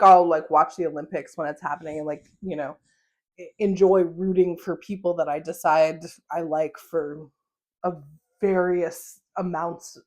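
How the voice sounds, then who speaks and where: A young American woman hosting her own podcast, talking casually and excitedly with lots of fillers and laughs. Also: fairly low pitch. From United States. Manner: chatty, animated, with frequent laughs and fillers. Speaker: podcast host. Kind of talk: solo casual podcast monologue.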